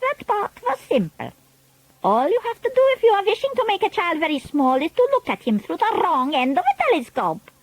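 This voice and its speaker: A woman's voice: very high voice, huge pitch swings, a little fast, no room sound, full character voice, low-quality recording. A woman voicing a scheming, pompous old witch leader who talks in grandiose broken grammar. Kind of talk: storybook reading.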